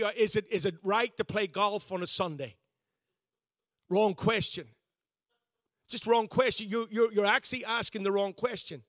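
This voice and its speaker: A pastor in his fifties preaches with a bright, lifted voice that sits high for a man. He talks to the congregation in a loose, conversational way, restarting his sentences and joking at his own expense. The sound is dry and close. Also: no echo. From Northern Ireland. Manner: conversational, self-deprecating preaching with frequent restarts. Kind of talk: sermon.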